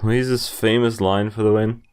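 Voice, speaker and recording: A young man reading game text aloud and commenting as he plays, with amused, halting asides. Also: on the low side, steady tone, darkish tone, clear, resonant tone. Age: young adult. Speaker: video game player and commentator. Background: game music.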